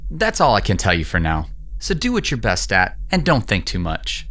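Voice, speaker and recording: A man's voice: on the low side, huge pitch swings, normal speed, pushed and bright, heavily acted. An actor playing a flippant, evasive young man, a cocky president's son, in an audio drama. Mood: cocky, teasing.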